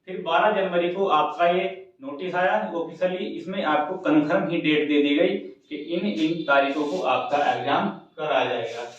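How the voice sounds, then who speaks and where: A man's voice: on the high side, moderate pace, hardly any pauses, a little hiss or hum. Echoey, distant man coaching exam students. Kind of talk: informal exam guidance talk to students. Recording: very echoey room, distant mic, muffled.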